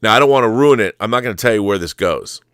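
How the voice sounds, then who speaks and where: Smooth, low-voiced middle-aged man, enthusiastic rambler. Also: expressive, quick pace, fairly bright tone, no echo, clean studio sound. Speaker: show host. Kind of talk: casual film commentary monologue.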